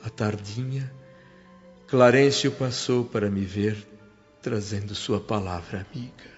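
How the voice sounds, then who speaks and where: A man's voice: lowish voice, steady tone, measured pace, natural voice. Sorrowful Brazilian male spirit played by an actor. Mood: sorrowful, bewildered. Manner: sorrowful, emotive. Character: anguished dead father's spirit recounting his return home. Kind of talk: dramatic first-person radio drama narration. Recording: muffled audio with music underneath.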